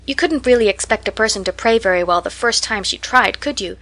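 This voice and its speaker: A woman's voice: medium pitch, fairly quick, fairly bright tone, natural voice. A woman voicing an eager, talkative orphan girl who speaks in a breathless, imploring, dreamy way in an audiobook. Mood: chattering. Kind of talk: audiobook character voice.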